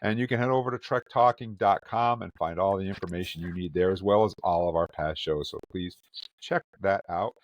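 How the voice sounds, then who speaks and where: A man's voice: sits low, a little fast, highs cut off, slight background noise. A man hosting a casual podcast, introducing his co-hosts with an upbeat, jokey manner. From Vermont. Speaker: podcast host.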